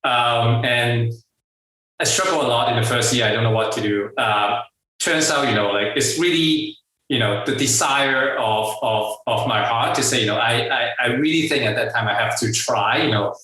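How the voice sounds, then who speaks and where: Hong Kong-accented man, bright voice in an echoey room. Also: medium pitch. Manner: halting, many fillers and restarts, laughs often. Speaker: guest entrepreneur. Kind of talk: casual interview storytelling. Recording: echoey room, far from the mic.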